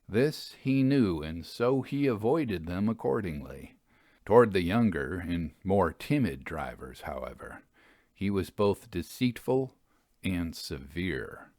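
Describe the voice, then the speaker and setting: A man narrating in a literary storytelling style, with measured, deliberate phrasing and emphatic stresses. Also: deep voice, a little mellow, dry recording, studio quality. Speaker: narrator reading ghost stories aloud.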